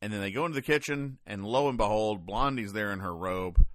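Low-pitched deadpan man hosting a comedy podcast. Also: fairly quick, no room sound. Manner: deadpan, wry. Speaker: podcast host. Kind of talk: casual comedic commentary, explaining comic strips.

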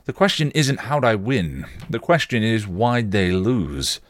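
A man's voice: lowish voice, big pitch swings, a little slow, dry recording, pitched above the performer's own voice. A man voicing a cocky male vampire, taunting and insistent, in a story reading. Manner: provocative, insistent, goading.

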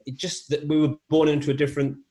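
A man's voice: a little high, flat delivery, fast, warm tone. A middle-aged man from England with a faint English accent, answering interview questions with many fillers and restarts. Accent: English, softened by years in Australia. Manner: hesitant, many fillers and repeated words. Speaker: interview guest, men's health advocate.

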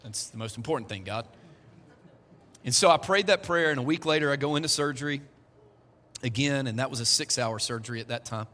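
A man's voice: medium pitch, a little fast, long pauses, pushed and bright. A man, preaching a sermon to his congregation in a conversational way, with joking asides. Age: 40s. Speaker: pastor. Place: church hall. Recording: echoey church hall.

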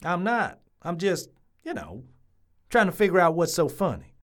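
A man's voice: sits high, sing-song, moderate pace, fairly bright tone. A man playing an earnest, nosy ballplayer in an audio drama. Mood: earnest, curious. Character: earnest, nosy male ballplayer who reads lips.

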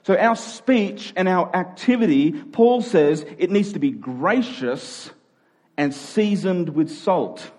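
This is an Australian man preaching live to a congregation. His voice sits a little higher than most men's. He keeps the sermon conversational and informal, putting rhetorical questions to his listeners and joking with them. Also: lively intonation, takes it slowly, darkish tone.

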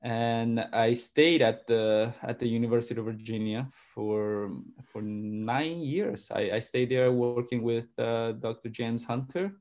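A man in his forties from Argentina, a pastor and sociologist answering interview questions. He speaks haltingly, often repeating words and restarting his sentences. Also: medium pitch, fairly even intonation, a little mellow. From grew up in Argentina.

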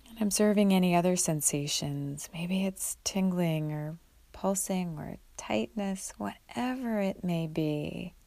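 Casting: female meditation guide, low register, gravelly fry at the edges, gentle unhurried delivery, close dry sound. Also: no echo. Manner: gentle, unhurried, with long pauses. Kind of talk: guided mindfulness meditation, instructional.

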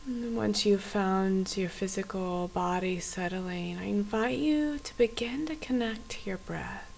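Softly guiding woman, gravelly creak, faint hiss. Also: mid-range voice, poor audio. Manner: gentle, unhurried, invitational phrasing with pauses. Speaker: meditation guide. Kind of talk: guided body scan meditation.

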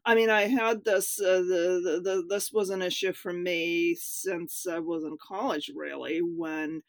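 A woman's voice: fairly low pitch, steady tone, a little slow, no echo. A woman in her fifties from Russia, a writer answering interview questions hesitantly, with many fillers and laughs. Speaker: writer, guest.

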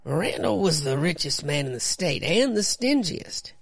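A man voicing a grizzled, grim small-town sheriff with a gravelly, raspy voice, telling a folksy tale in a comic-book radio play. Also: a little high, very animated, a little slow, dry recording, pitched above the performer's own voice, heavily acted. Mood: gruff, folksy.

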